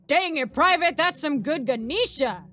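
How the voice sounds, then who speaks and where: A woman voicing a loud, bloodthirsty male sergeant in a space army who barks orders. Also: high-pitched, animated, normal speed, faint music behind, voice pushed up. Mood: barking. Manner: barking drill-sergeant shouts.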